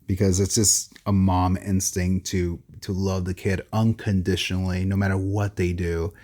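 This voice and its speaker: A man's voice: low voice, little pitch movement, moderate pace, warm tone. A man co-hosting a podcast, chatting casually with short, agreeable replies. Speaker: podcast co-host. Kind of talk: casual conversation.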